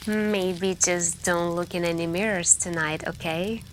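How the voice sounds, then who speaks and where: A woman doing soft-spoken ASMR storytelling roleplay as a girlfriend by a campfire, hushed and intimate, with long pauses and some whispering. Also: lowish voice, steady tone. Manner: hushed, drawn-out, pausing, intimate, with stretches of whispering. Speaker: roleplay storyteller playing a girlfriend on a camping trip. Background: campfire crackling and night ambience.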